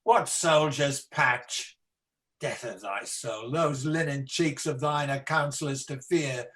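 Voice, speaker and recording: A man's voice: medium pitch, fairly bright tone. An amateur actor playing an ageing, embattled tyrant king in a Shakespearean radio play, declamatory, defiant and world-weary. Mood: scornful, angry. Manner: declamatory, slow, theatrical.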